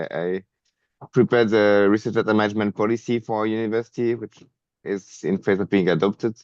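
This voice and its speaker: A man with a French accent speaks on a video call, his smooth, low voice sounding slightly muffled and dry. He talks hesitantly, stopping and restarting often. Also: no echo. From France. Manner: hesitant, many fillers and restarts. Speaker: meeting participant. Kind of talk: online meeting self-introduction.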